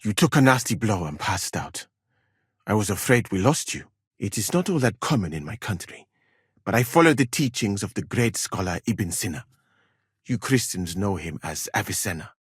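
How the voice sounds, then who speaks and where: Husky, formal physician. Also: male voice, on the low side, dry recording, studio quality, full character voice. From Mali. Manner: formal, measured, precise wording. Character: proud, exacting foreign male physician in a medieval war camp. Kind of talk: dramatic video game dialogue.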